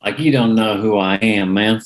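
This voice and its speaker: An actor playing a brash, teasing former athlete at a club door, read at a screenplay table read. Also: male voice, on the low side, moderate pace, natural voice.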